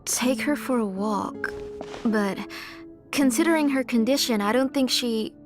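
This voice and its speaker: A woman playing a caring, protective mother in a video game, worried and gently scolding. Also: on the high side, measured pace, close to an everyday voice. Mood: concerned, relenting. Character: caring, fussing, protective mother of a sick girl. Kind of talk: English video game voice acting. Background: music.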